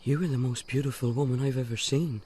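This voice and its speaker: A man's voice: mid-range voice, steady tone, quick pace, mellow, a little hiss or hum, close to an everyday voice. A man playing a lovestruck, hapless young man in a radio drama, eager and pleading.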